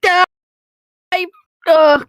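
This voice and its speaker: A woman's voice: very high-pitched, fairly even intonation, drawn-out words, fairly bright tone, no echo, studio quality. A woman bantering informally and jokingly as co-host of a read-aloud show on a voice call. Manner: informal, joking, jokey quoting. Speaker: co-host of a fan fiction reading show. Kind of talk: casual banter and commentary. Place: dry, close setup on a remote voice call.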